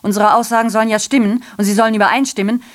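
A woman acting as a female messenger in a radio play, her voice on the high side. She declaims a literary monologue deliberately, with biting irony. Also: fairly even intonation, close to an everyday voice. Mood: wry, deliberate.

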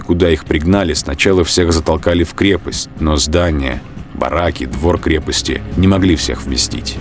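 A man narrating a radio play in a measured, grave storytelling manner. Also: low-pitched. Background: music.

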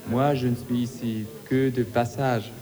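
An actor playing a courteous, gallant hotel guest in a radio play, speaking formally and politely. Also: male voice, mid-range voice, flat delivery, normal speed, dark tone, big-room sound, lightly acted.

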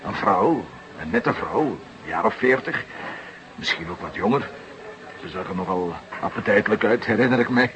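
An actor playing a familiar, loyal but wary barkeeper in a radio drama, talking in a hesitant, evasive way. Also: male voice, on the low side, lively intonation, normal speed, close to an everyday voice. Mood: guarded. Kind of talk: Dutch radio drama acting. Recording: noisy dated radio play recording.

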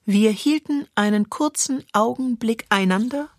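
A woman reciting literary prose in measured, deliberate phrases, as a spoken-word piece. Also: fairly high pitch, no echo, studio quality. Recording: clear music bed behind voice. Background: music.

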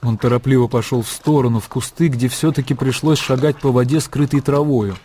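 A man narrating a literary radio drama in a measured storytelling manner. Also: mid-range voice, fairly even intonation, dark tone, a little hiss or hum. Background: music.

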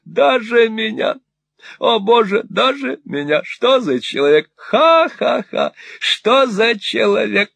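A cackling, fawning old moneylender. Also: male voice, high-pitched, animated, takes it slowly, gravelly, a bit bright, no room sound, voice pushed up, full character voice. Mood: gushing. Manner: wheedling, gushing exclamations. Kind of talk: audiobook reading.

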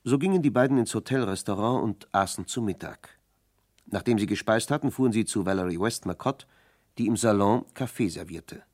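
Deep-voiced male radio drama narrator. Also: fairly quick, darkish tone.

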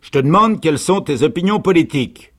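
A man's voice: mid-range voice, sing-song, normal speed, creaky, slightly rough, voice pushed up, heavily acted. A man playing a blunt, insistent sergeant of a revolutionary army who barks questions in a dramatic literary reading. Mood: stern, pressing.

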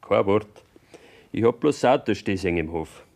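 Bavarian farmer's son telling what he saw, lowish voice, radio play. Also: expressive, brisk, creaky, darkish tone. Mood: subdued. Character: farm couple's son recounting his parents' parting. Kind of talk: German radio drama acting.

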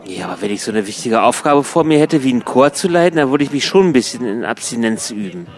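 An actor playing a skeptical, argumentative unemployed choir lyricist in a conversational German radio play. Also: male voice, medium pitch, expressive, fast, natural voice. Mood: dry, reproachful. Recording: music bed behind the voice.

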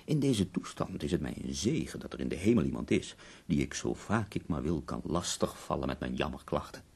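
A bedridden poet near death in a radio play. His voice is extremely low, gravelly and husky, and he speaks with wry, theatrical eloquence, leaving weary pauses between phrases. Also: sing-song, darkish tone, exaggerated voice. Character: bedridden, dying poet, ironic, complaining and theatrical. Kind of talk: radio drama acting.

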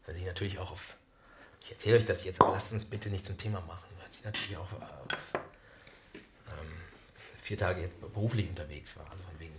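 A very low male voice as an awkward, reserved husband in improvised radio comedy. He picks his words haltingly and keeps things understated and formal. Clear noise sits behind him. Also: steady tone, reverberant. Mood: uneasy, subdued.

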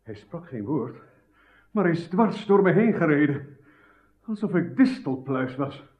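An actor playing a fearful, foreboding knight in a dramatic radio play. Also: male voice, on the high side, sing-song, measured pace. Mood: fearful, shaken. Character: fearful, shaken knight recounting his defeat. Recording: tinny, echoey old radio recording.

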